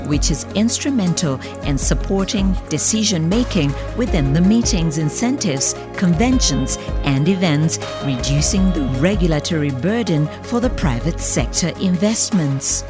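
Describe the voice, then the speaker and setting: Low-voiced woman narrating over music. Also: animated, moderate pace, hardly any pauses. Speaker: documentary narrator. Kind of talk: scripted narration. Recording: music behind the voice. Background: background music.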